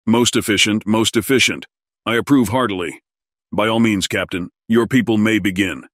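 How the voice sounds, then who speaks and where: Man playing a low-voiced, officious colony administrator. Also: normal speed, a bit bright, no room sound, studio quality. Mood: courteous. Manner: formal, officious. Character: fussy, courteous male alien colony administrator. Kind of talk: audio drama acting.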